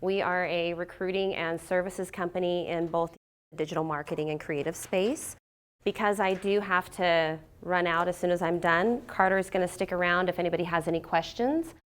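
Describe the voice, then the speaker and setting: Low-voiced woman giving a business talk. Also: steady tone, moderate pace, smooth. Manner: conversational, with fillers and restarts. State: getting over a cold. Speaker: guest speaker.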